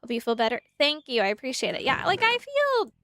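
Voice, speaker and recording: A woman's voice: high-pitched, huge pitch swings, a little fast, a bit bright, a little hiss or hum. A woman streaming a game live, chatting to her viewers and the game's animals in a rambling way, slightly nasal from congested sinuses. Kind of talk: casual live gameplay chat. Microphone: desk mic at a computer.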